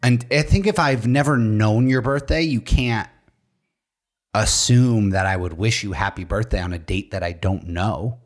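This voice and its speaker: A man co-hosting a podcast in casual chat, with a slightly raspy voice and dry comebacks. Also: lowish voice, moderate pace. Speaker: podcast co-host.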